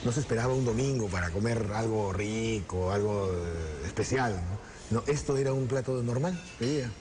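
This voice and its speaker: A middle-aged Peruvian man, a chef, calmly explaining his recipes in a restaurant kitchen and recalling home cooking. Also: fairly low pitch. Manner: unhurried, repeats words while working at the stove. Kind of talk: explaining recipes while cooking, then reminiscing. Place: restaurant kitchen, then dining room. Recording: noisy restaurant recording.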